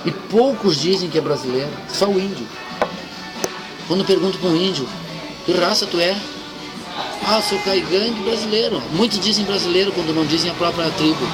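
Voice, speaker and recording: Man over very loud music. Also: sits high, brisk, low-quality recording. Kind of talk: casual political discussion. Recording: very loud music and vehicle noise.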